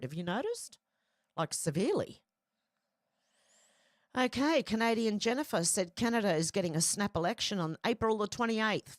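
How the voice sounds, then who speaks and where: A woman's voice: mid-range voice, no echo, clean studio sound. A woman from Ireland hosting an online news commentary show, reading posts aloud and reacting to them in a chatty, conversational way.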